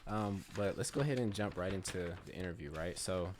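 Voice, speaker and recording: A man with a very deep voice hosts a podcast interview. His talk is loose and easygoing, full of "um", "like" and "right?". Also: fairly quick, slight background noise. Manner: relaxed, filler-heavy, lots of "right?" and "um". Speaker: podcast host. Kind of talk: casual interview hosting.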